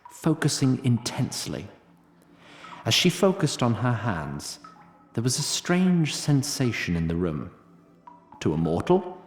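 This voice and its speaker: Guy narrating a fantasy tale, literary and measured, very expressive pitch, echoey, bit of noise. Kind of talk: scripted fantasy story narration. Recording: roomy sound, faint noise.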